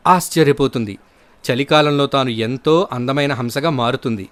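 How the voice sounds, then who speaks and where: A man's voice: medium pitch, normal speed, fairly bright tone, no echo. A man narrating scripted moral stories for children as a storyteller.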